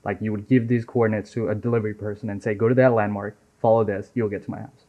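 Relaxed, chatty young man from Nepal. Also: lowish voice, fast talker. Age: 20s. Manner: relaxed and chatty, many fillers like "right?" and "man". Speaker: software engineer guest. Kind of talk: casual interview chat. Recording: dull lo-fi audio.

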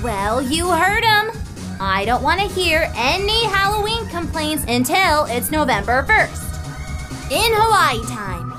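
A woman's voice: high-pitched, very animated, measured pace. A woman acting in an audio drama as a confident, bossy teenage girl camper, teasing and no-nonsense. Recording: music behind the voice.

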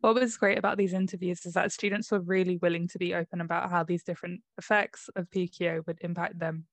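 A woman co-hosts an education podcast, her voice sitting on the low side. The sound is dry and close, with no room around her. She moves between scripted links and thoughtful, slightly hesitant reflection. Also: flat delivery, quick pace, no room sound. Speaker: podcast co-host and interviewer.

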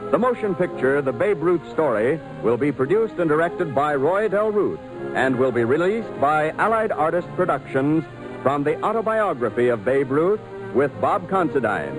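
A man's voice: on the high side, sing-song, moderate pace, low-quality recording. A man announcing in a formal, dramatic 1940s radio style, reading from a script on an old broadcast. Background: music.

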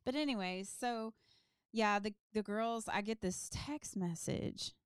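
A woman's voice: medium pitch, measured pace, clean tone, no room sound, clean studio sound. Rambling woman telling a story. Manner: rambling, many side stories and ums. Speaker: podcast guest. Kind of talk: casual storytelling chat.

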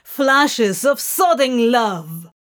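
A volatile male demon from a storybook , as a woman reads him, shouting and snarling. His lines come out loud and raw, bitter and pleading at once. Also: fairly high pitch, expressive, drawn-out words. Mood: furious. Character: volatile anguished male demon, sharp-tongued.

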